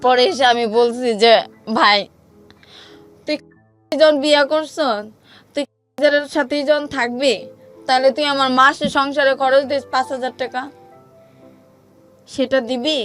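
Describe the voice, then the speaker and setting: A woman with a high voice and a Bangladeshi accent shares her personal story. She speaks in plain colloquial words, acting out past conversations with feeling. Faint music plays behind her. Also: steady tone, measured pace, pauses often, a bit bright. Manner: plain colloquial speech, reenacting quoted exchanges. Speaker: guest telling her life story.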